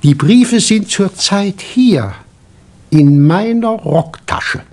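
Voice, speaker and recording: A man playing a self-assured doctor turned amateur detective in a 1960s German radio crime play, explaining insistently and dramatically in a husky voice. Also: high-pitched, animated, takes it slowly, heavy background noise, heavily acted. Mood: dramatic, explaining.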